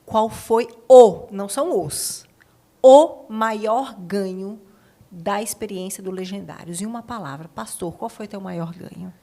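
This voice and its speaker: A woman's voice: medium pitch, unhurried, mellow. A woman with a Brazilian accent, hosting a podcast interview in a conversational style. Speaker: podcast host.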